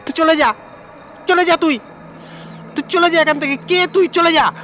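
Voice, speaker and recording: A man's voice: very high-pitched, normal speed, pushed and bright, slight background noise. A man playing a terrified, stammering villager who pleads in panic in a horror audio story. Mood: panicked. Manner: stammering, panicked, shrieking pleas. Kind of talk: Bengali horror audio drama acting.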